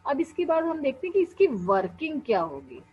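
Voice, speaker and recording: A woman's voice: high-pitched, wildly swooping pitch, a little fast, a little mellow. A young woman with an Indian accent gives an explanatory lecture as a teacher, checking in with short tag words as she goes. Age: young adult. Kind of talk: explanatory classroom lecture mixing Hindi and English. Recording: dull lo-fi webcam audio with faint hum.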